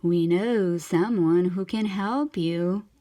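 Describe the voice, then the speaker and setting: A woman's voice: lowish voice, takes it slowly, mellow, pitched below the performer's own voice. A woman voicing a pair of cunning, evil eels in a children's storybook, coaxing with false sweetness. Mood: slyly coaxing.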